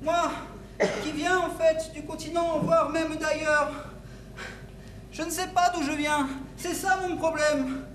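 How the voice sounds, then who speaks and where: A man's voice: very high-pitched, steady tone, takes it slowly, fairly bright tone, full character voice. A man voicing an odd-looking, lost shepherdess outcast in improvised stage comedy, plaintive and earnest. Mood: forlorn. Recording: distant mic in echoey noisy hall.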